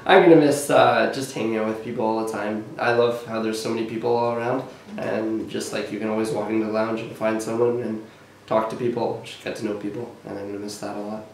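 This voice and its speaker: A man's voice: on the low side, little pitch movement, quick pace, creaky, hardly any pauses. A man, a student, talking casually in an interview. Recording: echoey, distant mic, loud ambient noise.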